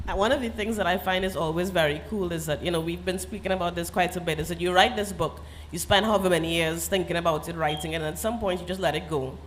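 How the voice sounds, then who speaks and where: A woman's voice: on the low side, fairly even intonation, fast, few pauses, slight background noise. A woman novelist with a Trinidadian accent, talking reflectively and earnestly on a festival panel, laughing often and slipping into creole phrasing. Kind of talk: literary festival panel talk.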